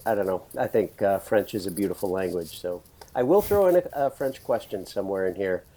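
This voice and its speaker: Raspy-voiced chatty male podcast host. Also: low voice, lively intonation, a little fast, mellow. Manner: chatty, rambling, laughs often. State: raspy voice from screaming at a concert. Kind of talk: casual interview chat.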